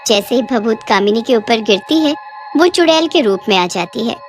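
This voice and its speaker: High-pitched animated woman storyteller. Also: moderate pace, faint music behind. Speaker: narrator. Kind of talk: scripted horror bedtime-story narration.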